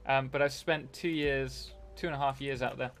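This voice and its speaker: A young male streamer chats loosely while he plays, drifting between the game and replies to viewers. His voice sits at an ordinary middle pitch at a desk mic, with faint music behind him. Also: steady tone, normal speed, a bit bright. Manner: chatty, rambling, reads and answers chat messages. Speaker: live-streaming game host. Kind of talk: casual live-stream chat while playing.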